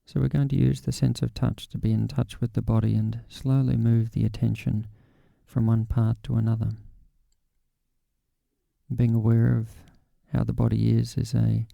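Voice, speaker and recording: A man guiding a body scan meditation in a calm, gentle, unhurried way with long pauses. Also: lowish voice, gravelly, no room sound. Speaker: meditation guide.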